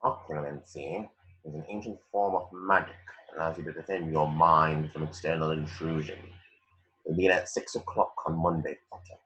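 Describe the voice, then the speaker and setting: A man acts out a disdainful wizard professor in a fantasy script read. His voice sits very low, and his speech is formal, curt and condescending, cold through and through. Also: steady tone, moderate pace, fairly bright tone, low-quality recording.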